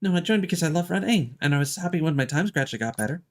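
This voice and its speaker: A man's voice: on the high side, animated, racing. A man voicing an anxious, envious teenage girl athlete, reading her game dialogue in an earnest, confessional way. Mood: wistful. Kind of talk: reading video game dialogue aloud in character.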